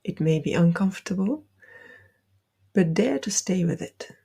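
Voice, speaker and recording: A woman guides a meditation in a low, dark voice with a creaky edge. She gives gentle instructions in soft, breathy phrases, unhurried and deliberate. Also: animated.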